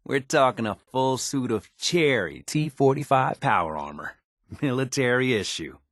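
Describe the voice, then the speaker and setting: An actor playing a folksy, wisecracking American tinkerer in a video game, talking in casual slang. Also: male voice, mid-range voice, expressive, normal speed, a bit bright, dry recording, clean studio sound. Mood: eager, persuasive. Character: folksy, wisecracking male tinkerer and scrappy settler ally.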